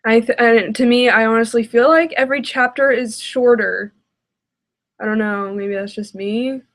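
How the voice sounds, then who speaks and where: A woman's voice: fairly high pitch, moderate pace. A woman giving casual reaction commentary in a hesitant, exasperated way. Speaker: reaction video host.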